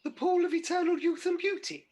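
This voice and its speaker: A woman's voice: very high voice, steady tone, fairly quick, a little mellow. A woman playing Mother Goose as a fussy, plaintive, vain and sweet pantomime dame in a radio panto. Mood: doubtful, flustered. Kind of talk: British radio pantomime acting.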